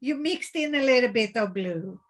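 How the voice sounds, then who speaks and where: A woman's voice: on the high side, expressive, normal speed, reverberant. A woman in the class asking short friendly questions. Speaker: class participant. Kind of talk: casual chat.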